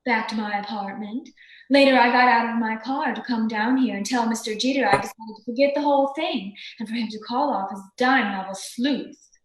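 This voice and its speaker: A woman's voice: on the high side, brisk, echoey room, close to an everyday voice, low-quality recording. A woman playing a cool, defiant femme fatale with curt delivery in an old-time radio detective drama. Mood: cool, composed.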